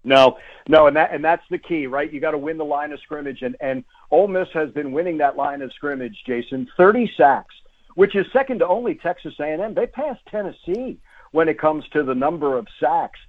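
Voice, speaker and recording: Phone-line male sportscaster, higher-pitched and emphatic. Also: animated, brisk. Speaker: sports play-by-play broadcaster, interview guest. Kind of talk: conversational sports analysis. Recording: tinny, phone-like guest line.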